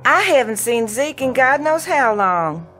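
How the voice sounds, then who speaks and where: A woman's voice: high-pitched, sing-song, a little slow, a bit bright, soft background music, heavily acted. A woman playing a practical, insistent wife in a radio drama, firm and coaxing with her husband. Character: pregnant wife, practical, insistent and nagging, affectionately.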